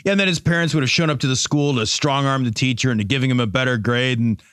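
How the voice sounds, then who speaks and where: A man's voice: mid-range voice, fast talker, a bit bright, smooth, dry recording, studio quality. A man co-hosting a comedy podcast with sarcastic banter. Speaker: podcast co-host.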